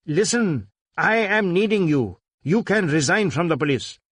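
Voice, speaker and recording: Imperious Indian magnate performed by a male narrator: higher voice, Indian accent, impatient commands, crime audiobook character. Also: expressive, a little slow, fairly bright tone, dry recording, studio quality, full character voice. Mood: pressing, coaxing. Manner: imperious, barking short repeated commands. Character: domineering wealthy Indian businessman, impatient and grudging.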